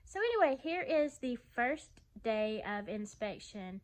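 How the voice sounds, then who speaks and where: A woman giving a casual vlog house tour, talking in a halting, gushing, conversational way. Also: a little high, big pitch swings.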